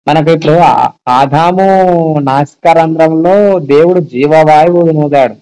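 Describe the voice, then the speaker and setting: Higher-pitched, mellow man explaining earnestly. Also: measured pace, poor audio. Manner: explanatory, asks rhetorical check-back questions. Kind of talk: casual phone discussion of faith. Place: recorded phone call. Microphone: telephone.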